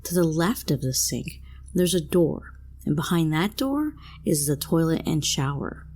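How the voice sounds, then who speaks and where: Low, husky woman narrating scary stories. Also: animated, unhurried, darkish tone. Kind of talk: scripted first-person story narration.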